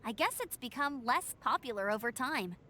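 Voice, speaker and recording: A bright, peppy young scout. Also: female voice, fairly high pitch, expressive, normal speed, a little hiss or hum. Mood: cheerful. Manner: upbeat, easygoing. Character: cheerful young female scout, friendly, energetic. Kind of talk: video game dialogue.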